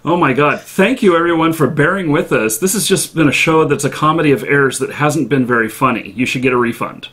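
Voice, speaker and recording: A man hosting a casual live interview over an online call, with halting, hesitant phrasing. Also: a little high, fairly bright tone, husky. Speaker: live stream host. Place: indoor office.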